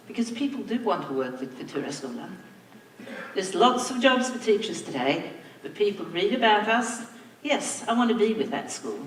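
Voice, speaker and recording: An older woman from Sweden, a native Swedish speaker, giving a slow, reflective anniversary speech to school staff as their principal. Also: mid-range voice. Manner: slow, reflective, warm thanks with soft laughs. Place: large hall, on stage. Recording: echoey hall from far off.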